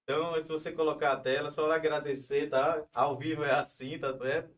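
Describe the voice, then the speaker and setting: A man's voice: on the high side, flat delivery, moderate pace, recorded from a distance. A man hosting a live online event who talks in a friendly, informal way with many filler tags. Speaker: event host.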